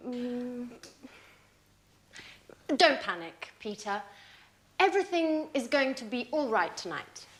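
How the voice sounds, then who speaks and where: A patient friend who coaxes and gets exasperated easily in a language-course sitcom. Her voice is high and swoops expressively. She speaks slowly and deliberately. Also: sing-song, fairly bright tone, full character voice. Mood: reassuring. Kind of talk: slow, clear scripted sitcom dialogue for learners.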